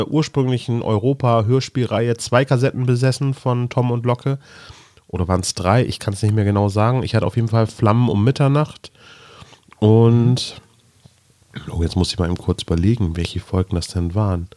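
A man's voice: medium pitch, moderate pace, darkish tone, dry recording, clean studio sound. A man hosting a podcast in a casual, chatty style. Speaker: podcast host. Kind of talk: casual chat, addressing listeners.

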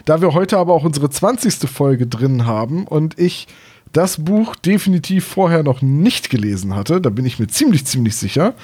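A man's voice: a little high, big pitch swings, moderate pace, darkish tone, breathy edge. A man chatting casually as a podcast co-host, with informal, jokey phrasing. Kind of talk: casual chat.

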